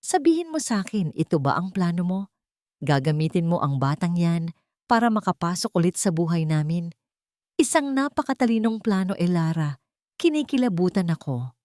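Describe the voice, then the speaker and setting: A woman voicing a rich older matriarch in a story, calm, icy, mocking and threatening. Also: fairly low pitch, normal speed, dry recording, clean studio sound. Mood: scornful. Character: cold, contemptuous rich older matriarch, menacing and haughty.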